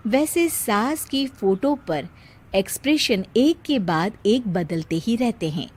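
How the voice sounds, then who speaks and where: A woman's voice: medium pitch, expressive, slow, darkish tone, slightly rough. A woman narrator telling a scripted comic moral story.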